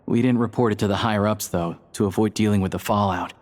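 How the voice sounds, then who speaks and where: A fairly low young man's voice acted by a man for a video game secret agent. He is easygoing and level-headed, explaining in a casual, measured way over faint ambient noise. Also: steady tone, darkish tone, close to an everyday voice. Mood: casual, resigned.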